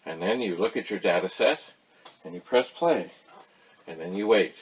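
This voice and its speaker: A man with a fairly low, husky voice hosts a casual explainer. He talks in a folksy, rambling way, wandering into asides and pausing for emphasis. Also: expressive, low-quality recording. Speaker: host of a retro computer tutorial channel.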